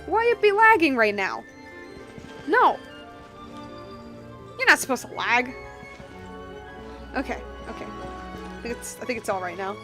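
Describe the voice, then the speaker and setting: A woman gives casual live commentary on a game stream. Her voice is on the high side, chatty and slangy with playful asides. Soft game music plays in the background. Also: sing-song, unhurried, long pauses.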